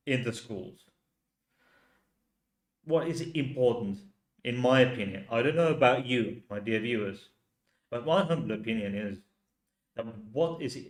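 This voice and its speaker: A man from Finland with a Finnish accent, a native Finnish speaker, hosting a livestream and talking in slow, halting rhetorical questions to his viewers. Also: medium pitch, reverberant. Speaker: channel host. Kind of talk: livestream commentary reading chat.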